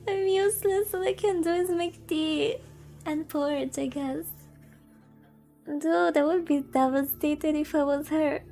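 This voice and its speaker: A woman's voice: high-pitched, fairly even intonation, measured pace, dark tone, soft background music. A woman streamer reading a visual novel aloud and chatting about it, with playful exclaimed asides. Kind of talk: livestream chat mixed with story reading.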